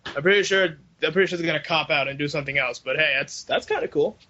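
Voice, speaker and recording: A man's voice: fairly high pitch, fast, pushed and bright, slight background noise. A young adult man chatting casually as co-host of a fan podcast over a voice call. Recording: dull lo-fi internet call.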